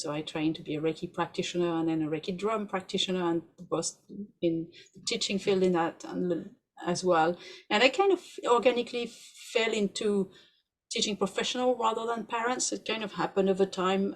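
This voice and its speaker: A woman in her forties from France with a French accent, a podcast guest talking quickly in animated asides. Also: lowish voice. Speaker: podcast guest, researcher and doula. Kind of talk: conversational interview.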